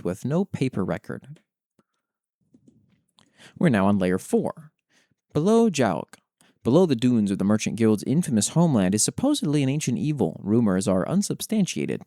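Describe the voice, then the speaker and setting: A man hosting a show, reading written fantasy lore aloud in an expressive, dramatic way with brief casual commentary. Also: on the low side, dry recording, studio quality. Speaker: host reading listeners' worldbuilding submissions.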